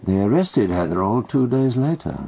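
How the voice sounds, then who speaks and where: A man acting in a British radio detective drama, playing a theatrical, deliberate amateur detective who tells his cases with pointed pauses and a British accent. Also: medium pitch, expressive, gravelly, dark tone, husky. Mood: teasing, deliberate.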